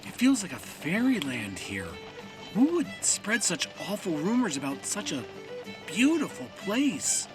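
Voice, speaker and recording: Audio drama lead: wondering young male traveller, lighter high-ish voice, polite and a little hesitant. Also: wildly swooping pitch, a little slow, over music, full character voice, rough recording.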